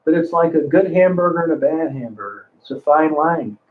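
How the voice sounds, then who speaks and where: A man's voice: on the high side, animated, a little fast. A man in his sixties chatting casually on a livestream, rambling, cursing freely and often restarting his sentences. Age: 60s. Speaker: livestream host reading chat. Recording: phone-like narrow sound.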